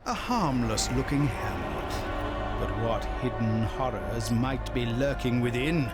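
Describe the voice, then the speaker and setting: An actor playing a jolly, pompous old-fashioned adventure-serial narrator with an upper-class English accent, in 1930s newsreel style. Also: male voice, medium pitch, lively intonation, a little slow, close to an everyday voice. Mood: dramatic, teasing. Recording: loud music behind.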